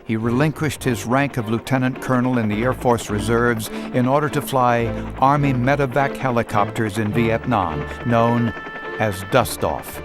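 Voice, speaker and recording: A man reads scripted documentary narration in a measured, formal way. His voice sits at an ordinary middle pitch. Clear music plays behind him. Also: steady tone.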